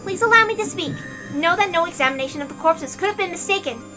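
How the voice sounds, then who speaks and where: A woman's voice: extremely high pitch, lively intonation, quick pace, pitched below the performer's own voice. A woman voicing a stern, formal inquisitor who recites absolute laws in a dramatic visual novel read-aloud. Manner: formal, declarative, unyielding. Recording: loud music behind the voice.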